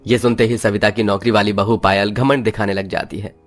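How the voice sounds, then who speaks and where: A man's voice: lowish voice, steady tone, fairly quick, faint music behind. A man reading scripted narration for a moral story as its narrator.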